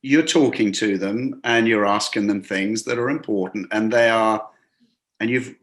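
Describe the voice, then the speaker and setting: A man's voice: lowish voice, unhurried, fairly bright tone. A man as an interview guest, a hospitality business coach, telling workplace stories in a rambling conversational way, with many restarts and acted-out quotes. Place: lives and works in a Gulf city.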